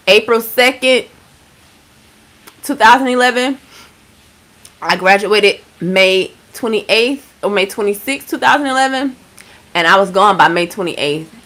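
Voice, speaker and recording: A woman in her thirties from Georgia, hosting a casual livestream and chatting with viewers. She is laid-back, swears freely and keeps giving shout-outs. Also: medium pitch. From Georgia, USA. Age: 30s. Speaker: livestream host.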